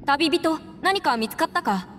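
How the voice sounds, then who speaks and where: A woman playing a thoughtful, upright legal adviser in a video game, speaking calmly and formally. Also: high-pitched, a little slow, big-room sound, noisy background. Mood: composed, inquiring. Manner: calm, formal, earnest.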